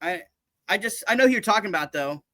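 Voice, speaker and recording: A man's voice: high voice, expressive, fast talker, bright, forceful tone, no echo. A man chatting casually about politics, rambling and restarting his sentences, dropping into mock voices for jokes.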